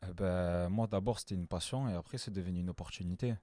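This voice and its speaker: A man in his twenties from France, a hairdresser answering interview questions in a relaxed, simple way. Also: sits low, quick pace, dry recording, studio quality. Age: 20s. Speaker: guest, hairdresser.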